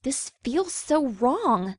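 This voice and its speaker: A woman's voice: high-pitched, big pitch swings, very slow, slightly rough, no echo, clean studio sound, heavily acted. A woman voicing a sensible young female pony who reasons wearily and corrects others in a dramatic story reading. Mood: uneasy. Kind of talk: dramatic fan fiction reading.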